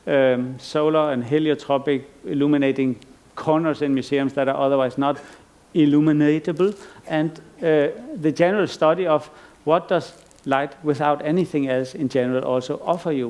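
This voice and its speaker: Man, middle-aged, from Iceland, lecturing on stage; raspy, fairly high voice, musing and halting. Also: fairly even intonation, a little mellow. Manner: thoughtful, rambling with frequent restarts and fillers. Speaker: artist giving a lecture. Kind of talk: conference lecture.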